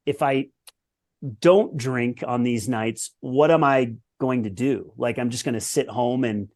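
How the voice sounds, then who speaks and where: A man's voice: medium pitch, unhurried, darkish tone. A man in his forties from the United States, a former pro tennis player, telling his story as a podcast guest in an earnest, reflective way. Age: 40s. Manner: reflective, earnest, with lists and restarts. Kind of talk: conversational interview storytelling.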